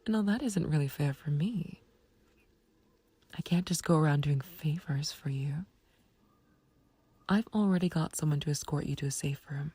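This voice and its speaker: A woman playing a smug, taunting, playfully flirtatious villain in an ASMR roleplay, with a husky, breathy low voice and a teasing, unhurried, condescending manner. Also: dark tone, no echo. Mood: teasing, reluctant bargaining. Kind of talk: ASMR roleplay audio drama.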